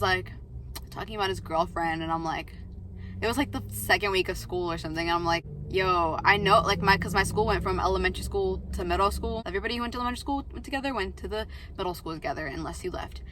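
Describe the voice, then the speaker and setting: A woman's voice: lowish voice, a bit bright, a little hiss or hum, rough recording. An eighteen-year-old woman chatting in a rambling vlog from her parked car, filling her talk with "like" and quotes of herself. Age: late teens. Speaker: vlogger. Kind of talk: casual talking-to-camera vlog. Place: parked car, outside a coffee shop.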